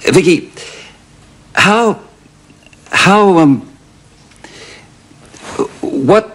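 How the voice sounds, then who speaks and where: A man's voice: high voice, animated, drawn-out words, fairly bright tone, full character voice. A man in a sitcom role who talks hesitantly, stumbling and stammering over his words. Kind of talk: sitcom acting.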